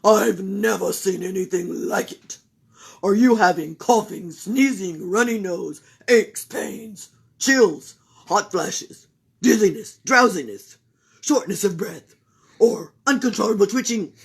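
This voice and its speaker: A woman voicing a fussy, booming male doctor in a read-aloud storybook, loud and emphatic as he rattles off symptoms. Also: takes it slowly, creaky, husky, exaggerated voice.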